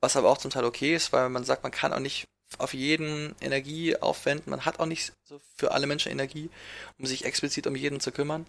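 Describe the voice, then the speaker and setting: A man's voice: mid-range voice, level tone, fast, creaky, a bit bright. Earnest young man searching for words. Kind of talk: reflective conversation.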